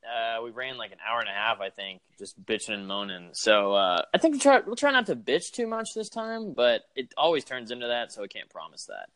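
A man's voice: medium pitch, sing-song, a little fast, few pauses, a bit bright, no echo. A young man co-hosting a wrestling podcast, laid-back and full of fillers, laughing easily. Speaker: podcast co-host. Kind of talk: casual chat.

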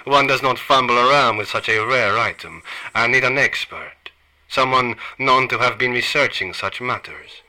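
A man playing a sinister, hushed dealer. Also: mid-range voice, low-quality recording. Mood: cold, menacing. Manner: measured, clipped, often hushed and menacing. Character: sinister smooth male dealer, cold, secretive. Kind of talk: radio play acting.

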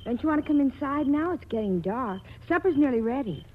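High-voiced, anxious young household helper. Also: female voice, expressive, brisk. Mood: anxious, coaxing. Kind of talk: 1940s radio acting. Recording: tinny noisy old radio broadcast.

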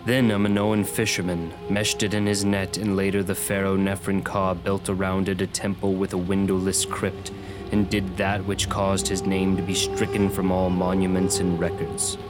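Actor as a haunted, low-voiced man over music. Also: flat delivery, normal speed, natural voice. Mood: grave, ominous. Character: troubled man haunted by visions of cosmic horror. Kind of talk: radio drama acting.

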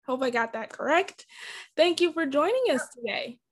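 A woman hosts a podcast in a high, light voice. She asks her guest polite, friendly questions at a measured pace, with a few fillers along the way. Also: animated. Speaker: podcast host. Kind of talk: podcast hosting.

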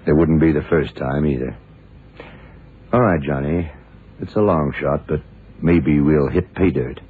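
An actor playing a shrewd, dogged FBI investigator in a radio crime drama, terse and deliberate. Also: male voice, extremely low pitch. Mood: intent. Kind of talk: 1960s radio drama acting. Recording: muffled, noisy old radio broadcast recording.

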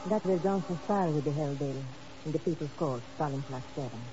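An actor in a 1950s radio drama playing an earnest underground helper in a Cold War intrigue. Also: male voice, a little high, a little fast, lightly acted. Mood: grave. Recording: muffled, hissy old radio recording.

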